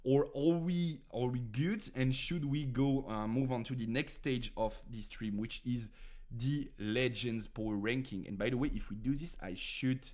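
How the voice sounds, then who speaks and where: A man's voice: mid-range voice, measured pace, a little mellow. French-accented man chatting on a stream. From France. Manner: rambling, many fillers and restarts, chatting to viewers. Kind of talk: casual live-stream talk about card game decks.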